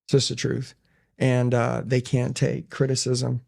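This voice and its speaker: Gravelly, blunt man talking motivationally. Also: medium pitch, monotone, unhurried, darkish tone. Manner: blunt, emphatic, short declarative statements. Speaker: podcast guest and business owner. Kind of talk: blunt motivational talk in conversation.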